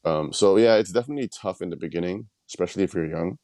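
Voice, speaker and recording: A man's voice: deep voice, fast talker, a little mellow, dry recording. A man from Nigeria, a guest on a podcast, answering questions in a reflective way with many "you know"s and "um"s. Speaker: guest artist. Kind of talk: relaxed interview answers.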